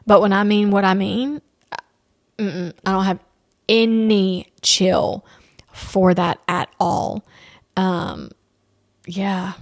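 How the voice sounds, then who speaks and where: A woman's voice: medium pitch, fairly even intonation, slow pace, long pauses, dry recording, clean studio sound. A woman in her thirties hosting a casual solo podcast, chatty and candid, with playful asides. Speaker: podcast host.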